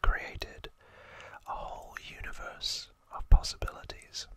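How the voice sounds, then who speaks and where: A host whispering softly and haltingly close to the microphone, explaining trivia informally in an ASMR style. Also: no room sound. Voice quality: soft unvoiced whisper.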